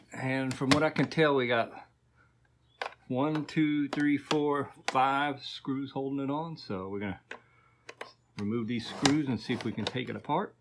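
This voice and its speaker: A man narrates a casual workbench repair vlog in a mid-pitched voice. He speaks informally, thinking aloud as he works. The recording has noticeable noise and clicks behind him. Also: unhurried, pauses often. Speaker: host of an electronics repair video.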